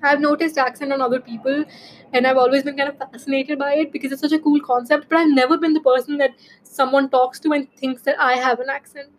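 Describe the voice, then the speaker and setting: A woman's voice: high voice, flat delivery, quick pace, a little hiss or hum, rough recording. A young woman from India with an Indian accent, hosting a casual solo podcast and talking in a rambling way with lots of fillers. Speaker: podcast host.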